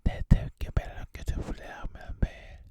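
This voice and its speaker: An ASMR host speaking in a breathy whisper, with repeated nonsense syllables and mouth sounds, inside a car. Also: unhurried.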